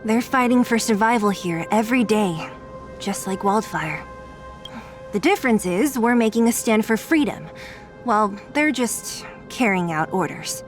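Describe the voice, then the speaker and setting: A woman's voice: medium pitch, expressive, unhurried, close to an everyday voice. A woman playing a blunt, scrappy young freedom fighter in a video game, frank and tough-talking. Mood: frank, reflective. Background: game music.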